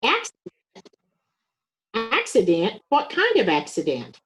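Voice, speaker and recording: A woman's voice: a little high, wildly swooping pitch, unhurried, a bit bright, heavily acted. A woman playing a questioning small-town doctor in an amateur radio-style play. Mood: concerned. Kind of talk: amateur readers theater reading.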